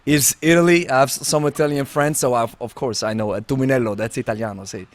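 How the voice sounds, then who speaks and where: A man hosting a podcast interview, speaking in a friendly, halting way with many pauses. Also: mid-range voice, crowd noise. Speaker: podcast host.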